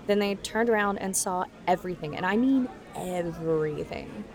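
A woman's voice: lowish voice, normal speed, crowd noise, lightly acted. A woman playing a smug, gossipy teenage camp girl in an audio drama, talking in a casual, conspiratorial way. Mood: excited gossip. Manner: conspiratorial, casual, filler-laden teen talk. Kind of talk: naturalistic audio drama dialogue.

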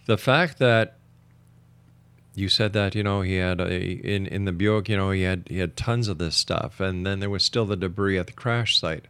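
Low-pitched male radio host. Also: moderate pace, a bit bright, clear, resonant tone, dry recording. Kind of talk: radio interview and show announcements.

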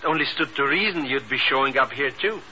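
An actor playing a sly, chummy, ingratiating rogue in a 1950s radio spy drama. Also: male voice, mid-range voice, brisk, bright, forceful tone, slight background noise. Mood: gleefully sly. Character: sly, chummy male rogue tailing a secret agent. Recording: muffled old radio recording.

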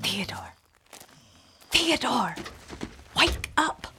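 Woman acting fretful mother, slow and swooping. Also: a little high, huge pitch swings, vocal fry, noisy background, full character voice. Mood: hushed alarm. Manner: fretful, dramatic. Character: anxious, fretful middle-aged mother. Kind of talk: radio drama acting.

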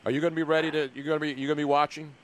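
A man's voice: on the high side, flat delivery, very fast. A middle-aged or older American man hosting a casual sports podcast in a brash, slangy, bantering way. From Philadelphia, USA. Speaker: podcast host.

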